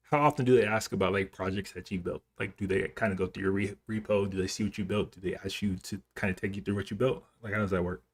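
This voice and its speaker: A man's voice: lowish voice, creaky, breathy edge. A man livestreaming in an informal, slangy way, thinking aloud as he chats with viewers. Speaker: live coding streamer. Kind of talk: casual livestream chat, reading and answering viewer comments.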